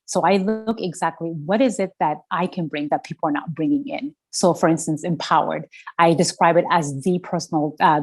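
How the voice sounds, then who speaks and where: A woman's voice: deep voice, darkish tone. A woman entrepreneur answering podcast interview questions, earnest and passionate, with a strong voice. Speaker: fragrance founder, podcast guest.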